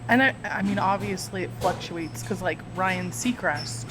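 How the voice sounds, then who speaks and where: A woman co-hosting a podcast in casual chat, teasing and quick with short reactions. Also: on the low side, over music, poor audio. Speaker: podcast co-host.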